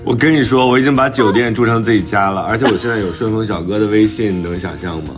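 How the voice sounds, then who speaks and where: 一位男性脱口秀主播，轻松随意地聊天，爱讲自己的小故事和吐槽。. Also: male voice, fairly low pitch, quick pace, music in the background. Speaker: podcast co-host and author. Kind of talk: casual banter on a talk show.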